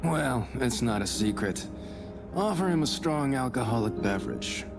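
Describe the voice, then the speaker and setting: An actor playing a deferential, earnest local police investigator in a period detective visual novel. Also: male voice, medium pitch, animated, moderate pace, vocal fry, music in the background. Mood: helpful, confiding. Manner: respectful, earnest. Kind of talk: scripted visual novel voice acting.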